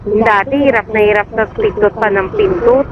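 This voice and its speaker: A woman talks in casual conversation over a helmet intercom. Her voice comes through dull, with clear vehicle noise around it. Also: mid-range voice, moderate pace. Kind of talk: casual chat. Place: outdoors on a motorcycle ride. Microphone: helmet intercom headset. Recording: muffled intercom audio, loud vehicle noise.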